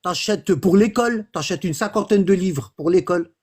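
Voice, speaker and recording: A man's voice: sits high, moderate pace, a little mellow, husky. A man giving informal religious teaching, explaining patiently, repeating himself and checking that he is understood. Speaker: religious instructor.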